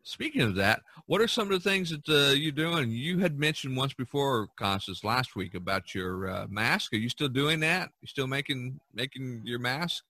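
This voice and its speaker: A man hosting a casual podcast chat, talking in a halting way with many you knows. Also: medium pitch, expressive, bright, forceful tone, no room sound. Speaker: podcast host.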